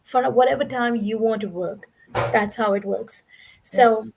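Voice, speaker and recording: A woman's voice: a little high, measured pace, gravelly, noisy background. A woman in her forties from India, a guest on a video call interview, telling her story in an earnest, conversational way. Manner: conversational, earnest, explains at length.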